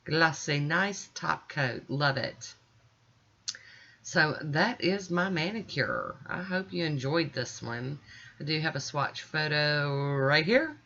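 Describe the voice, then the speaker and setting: A woman's voice: low voice, sing-song, takes it slowly, creaky, bright, forceful tone, lo-fi sound. A middle-aged American woman giving a chatty, folksy and informal voice-over as a nail art vlogger. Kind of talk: casual tutorial voice-over.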